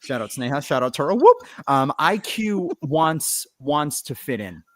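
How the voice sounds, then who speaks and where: A man's voice: on the high side, sing-song, measured pace. A man who works as a voice actor, chatting casually on a cast panel in a playful, jokey way.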